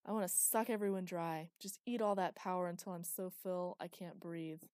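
A woman voicing a reckless, bloodthirsty man who shouts and taunts loudly. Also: fairly low pitch, steady tone, normal speed, dry recording, clean studio sound, lightly acted. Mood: gleeful, taunting. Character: reckless bloodthirsty young man, gleeful and crude.

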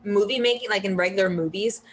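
A young woman hosting a casual podcast chat, animated and chatty, swearing now and then and acting out quotes. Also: medium pitch, fast talker, a little hiss or hum. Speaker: podcast host.

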